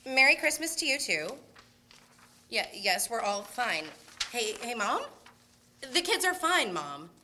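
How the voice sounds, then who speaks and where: Woman acting flustered mom with a bright, high voice. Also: very animated, measured pace, long pauses, slight background noise, exaggerated voice. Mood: placating, flustered. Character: flustered, forgetful mother on a family road trip. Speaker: radio play actor. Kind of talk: amateur Christmas radio skit acting.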